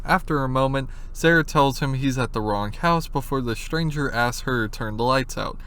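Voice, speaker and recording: A man's voice: medium pitch, moderate pace, fairly bright tone, clean tone. A man giving narrated analysis commentary, explaining in an informal way with casual asides. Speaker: video essayist, horror series analyst.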